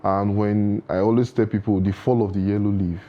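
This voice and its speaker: A man's voice: fairly low pitch, little pitch movement, moderate pace, lo-fi sound. A man speaking as a guest in a studio interview, giving earnest advice full of proverbs, with frequent restarts. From Nigeria.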